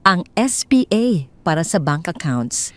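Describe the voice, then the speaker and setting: A woman voicing a calm, calculating wife who reassures and persuades her husband in an audio drama. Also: on the low side, measured pace. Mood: calm persuasion.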